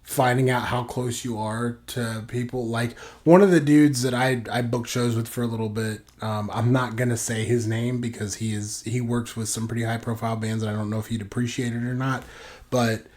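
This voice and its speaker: A man's voice: mid-range voice, steady tone, normal speed, a little mellow. A man co-hosting a podcast, talking in a rambling way with lots of "like" fillers and sudden bursts of enthusiasm. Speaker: podcast co-host. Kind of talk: casual podcast chat.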